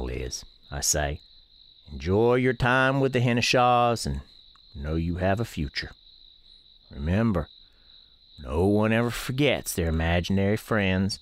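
Low-voiced man, folksy storyteller. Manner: folksy, colloquial Southern-style phrasing. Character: folksy, gentle male country storekeeper narrating a ghostly tale. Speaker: narrator. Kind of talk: first-person storytelling in a radio play.

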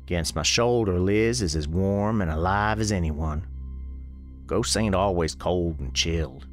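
A man playing a grave, folksy storekeeper, narrating an eerie radio drama in a measured, ominous way. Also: deep voice, faint music behind. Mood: solemn.

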